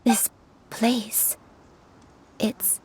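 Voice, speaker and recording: Frail, forgetful old granny for a game: gentle, wistful, very unhurried, lightly high-pitched. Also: female voice, fairly even intonation, slight background noise. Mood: halting, haunted. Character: frail, tender old grandmother with fading memory. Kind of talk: video game voice acting.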